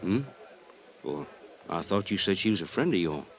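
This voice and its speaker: An actor playing a puzzled, deferential, folksy bartender who speaks hesitantly in a 1950s radio drama. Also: male voice, sits low, animated, normal speed, a little hiss or hum. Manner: hesitant, plain-spoken, folksy.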